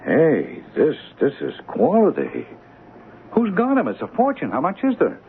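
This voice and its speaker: An actor playing a dim, eager small-time crook who tries to sound educated, with slangy, hesitant, earnest talk in a radio drama. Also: male voice, on the high side, wildly swooping pitch, unhurried, a little hiss or hum, exaggerated voice. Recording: thin, tinny old radio broadcast.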